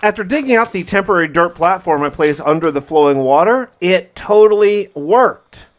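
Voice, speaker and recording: A man narrating gameplay as a dramatic first-person survival diary, in an emphatic, theatrical way with drawn-out words. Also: high-pitched, expressive. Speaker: gaming video host.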